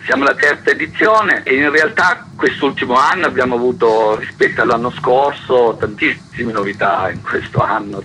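A man's voice: mid-range voice, bright, forceful tone, a little hiss or hum, rough recording. A man speaking informally as an activist guest in a radio interview. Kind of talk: informal spoken interview explanation.

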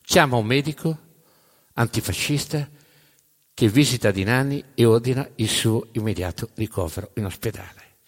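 A man narrating a story on stage in dramatic spoken-word style, emphatic, with deliberate pauses and repeated phrases. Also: medium pitch. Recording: reverberant hall live recording.

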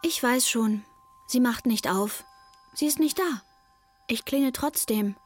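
A woman as an earnest, high-voiced child narrator. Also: a little slow, faint music behind, natural voice. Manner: plain, childlike matter-of-fact storytelling. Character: young child narrator, naive, earnest, devoted to troubled mother. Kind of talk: first-person radio drama narration.

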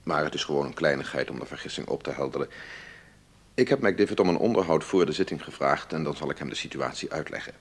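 A defence lawyer telling a friend about an absurd case in a radio drama. His voice is deep and husky with a gravelly edge. He confides with animated, exasperated disbelief. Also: heavily acted. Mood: wry, fascinated. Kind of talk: radio drama letter monologue.

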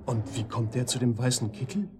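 An actor playing a talkative psychiatric-ward patient informing the police in a German radio drama, rambling and colloquial. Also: male voice, mid-range voice, fairly even intonation, fairly quick, over music, lightly acted. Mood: confiding, suspicious.

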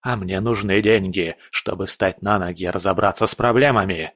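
A man voicing a cowardly, hot-tempered prince who rants and shouts in fury. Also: low voice, fairly even intonation, moderate pace, no echo, clean studio sound, pitched below the performer's own voice. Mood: furious. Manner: shouting, ranting, furious.

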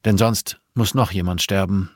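A man as a calm, grieving older nun, solemn. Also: low voice, no room sound, clean studio sound. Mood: resigned, ominous. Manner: measured, solemn, reproachful. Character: calm grieving nun, an older mother, quietly accusing, cryptic.

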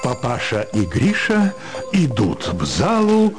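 A man's voice: mid-range voice, huge pitch swings, takes it slowly, loud music behind the voice. A man narrating a scripted story in a theatrical radio style.

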